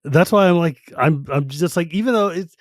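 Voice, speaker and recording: A man's voice: on the high side, moderate pace, no echo, clean studio sound. Laid-back man hosting a chat podcast. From United States. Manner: relaxed, laughs often, casual slang. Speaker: podcast host. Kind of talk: casual chat.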